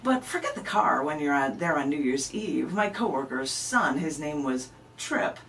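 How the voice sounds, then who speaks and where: Low-voiced woman, distant mic. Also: big pitch swings, moderate pace, a bit bright, a little hiss or hum. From American Midwest. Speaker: poet, interview guest. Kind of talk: live reading of narrative spoken-word poetry, conversational. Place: radio station studio. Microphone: camera microphone at some distance.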